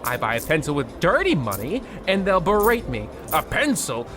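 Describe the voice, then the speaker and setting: A man's voice: a little high, huge pitch swings, moderate pace. A man playing a pompous, swooping radio-play husband. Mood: indignant. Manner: pompous, blustering, stammering indignation. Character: pompous, fussy, scolding husband obsessed with supper. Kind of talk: old-time radio play comedy acting.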